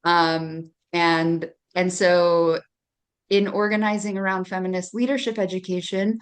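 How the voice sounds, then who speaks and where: A woman's voice: lowish voice, steady tone, measured pace. A young adult woman from Hawaii speaking earnestly as a panelist, with frequent fillers. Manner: earnest, many um fillers, occasional laughs.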